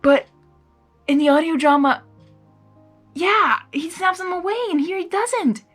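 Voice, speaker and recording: A woman doing a casual read-aloud with commentary, expressive and often breaking off into exclaiming asides. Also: extremely high pitch, a little slow, faint music behind. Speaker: reader of fan fiction, reacting as she goes.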